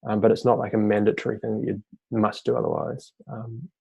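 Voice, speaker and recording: A man hosting a live webinar, reading out audience questions and answering them in a relaxed, off-the-cuff way over a video-call mic. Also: on the low side, a little fast, gravelly, slightly rough, no echo, dull audio. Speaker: fellowship team member hosting a webinar Q&A.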